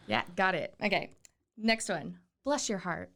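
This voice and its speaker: Woman, mid-pitched, upbeat chatty podcast host. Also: lively intonation, unhurried, creaky, fairly bright tone. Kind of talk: casual interview chat.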